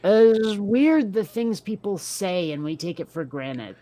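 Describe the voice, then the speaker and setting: A woman's voice: mid-range voice, animated, slow pace, warm tone. A woman from Vermont with a faint New England accent, chatting casually as co-host and filling her talk with "like" and side remarks. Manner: chatty, many "like"s and asides. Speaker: podcast co-host. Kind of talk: casual chat.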